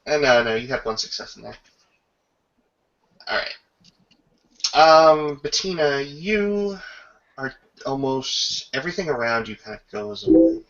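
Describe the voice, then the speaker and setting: A man acting as game master, narrating a tabletop roleplaying scene and its rules in halting, improvised phrases over a lo-fi voice chat. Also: a little high, sing-song, fairly bright tone. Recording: dull lo-fi voice chat.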